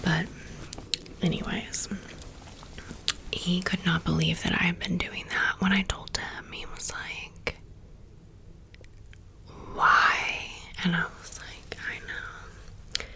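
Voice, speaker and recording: A woman in her thirties whispering softly in a chatty ASMR show-and-tell, blunt and casual. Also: fairly low pitch, little pitch movement, unhurried, vocal fry, long pauses. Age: 30s. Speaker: ASMR creator. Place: home room with fridge and outside noise.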